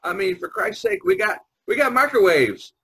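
Animated, husky male podcast host. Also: extremely high pitch, moderate pace. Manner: jokey, informal, acts out quotes. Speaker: podcast host and editor, artist. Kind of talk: casual chat. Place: online video conference call. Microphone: video call.

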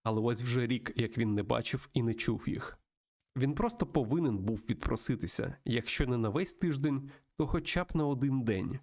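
A man reading a visual novel aloud as a let's play host, in an expressive storytelling manner. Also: medium pitch, moderate pace. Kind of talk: narrated visual novel playthrough reading with asides.

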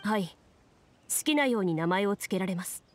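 A woman's voice: mid-range voice, expressive, moderate pace, a little hiss or hum, lightly acted. A woman voicing a serious, composed young duelist in an anime game, explaining formally like a game master. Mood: composed, instructive. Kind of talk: Japanese anime game voice acting. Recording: studio voice with music underneath.

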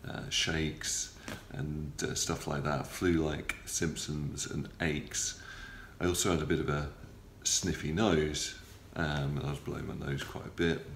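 A middle-aged man talks in a very deep, gravelly voice, halting and matter-of-fact, pausing often on ums and uhs. He has a cold and sounds a little stuffy. The camera-close recording from a small room is roomy, with a faint hum behind him. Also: little pitch movement, a bit bright. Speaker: patient video blogger. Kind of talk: casual video diary. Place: small hospital room, indoors. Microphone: phone or camera close up, front-facing.

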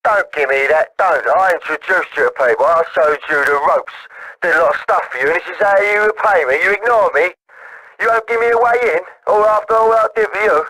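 Video game role: slangy British wide boy, higher-pitched, raspy and pushy, boastful and boozy. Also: expressive, fast, a bit bright, no echo, rough recording. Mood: drunken, aggrieved. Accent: British, southern English. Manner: slangy, rambling, agitated. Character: drunken, boastful, resentful British small-time hustler. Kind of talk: video game character phone dialogue.

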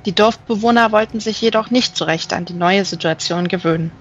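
A woman reading literary narration as an audiobook, measured and careful. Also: mid-range voice, lo-fi sound. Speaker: audiobook reader. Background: music.

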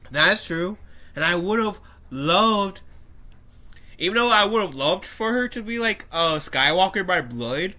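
Bright-voiced young man with a stuffy nose. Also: high-pitched, a little slow. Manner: animated, gestures with his hands, frequent coughs and restarts. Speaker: YouTuber. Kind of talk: casual commentary reading an article. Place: small room at home, indoors.

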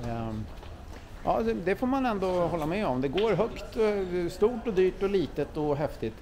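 A man talks his way through an indoor car museum while holding the camera, chatty and full of enthusiasm, often thinking aloud and correcting himself mid-sentence. The murmur of other visitors is clearly heard behind him. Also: sits high, big pitch swings. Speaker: car vlogger touring a museum. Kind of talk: casual walk-through commentary. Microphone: handheld camera mic, walking. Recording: visitor crowd in background. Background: crowd murmur of museum visitors.

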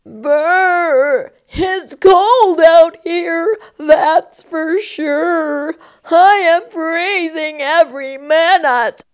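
A woman voicing a cold, shivering snowman from a children's storybook, with a quavering, drawn-out, exaggerated delivery. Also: very high-pitched, voice pushed up, exaggerated voice. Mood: shivering, complaining.

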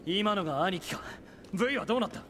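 A man's voice: sits high, animated, a little fast, a bit bright, in a large hall, slight background noise. A man playing a defiant, hot-headed young demon hunter in a Japanese video game, blunt and indignant.

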